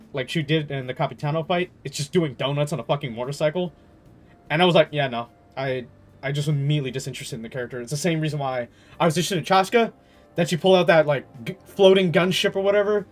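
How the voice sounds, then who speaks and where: A man giving casual gaming commentary as a streamer, talking in an animated, slangy, exasperated way. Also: on the high side. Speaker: game streamer.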